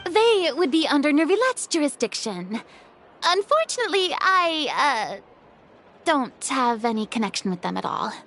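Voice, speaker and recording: A woman's voice: high-pitched, very animated, normal speed, fairly bright tone, a little hiss or hum, exaggerated voice. A woman voicing a proud, theatrical young star actress in a video game, speaking in a haughty, dramatic, self-important way. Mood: musing, businesslike. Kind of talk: animated video game voice acting. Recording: background music under the voice.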